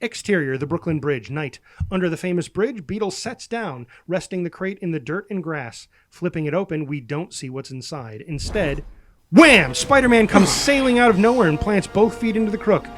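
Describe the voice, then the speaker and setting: A man's voice: sits high, lively intonation, moderate pace. A man narrating a screenplay, reading out scene headings and action as a scripted narrator. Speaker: narrator reading stage directions.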